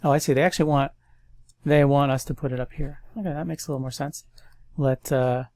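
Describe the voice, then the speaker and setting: A man's voice: mid-range voice, brisk, dry recording, clean studio sound. A man with a stuffy nose, casually thinking aloud as he walks through a coding tutorial. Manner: thinks aloud, relaxed and chatty. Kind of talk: casual screen-recorded coding walkthrough.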